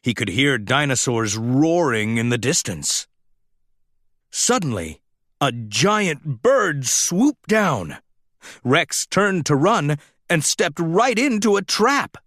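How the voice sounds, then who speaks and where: A man reads a children's story with dramatic expression. His voice is fairly high and very animated, moving at a deliberate pace. The recording is close and dry. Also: no echo. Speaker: storybook narrator.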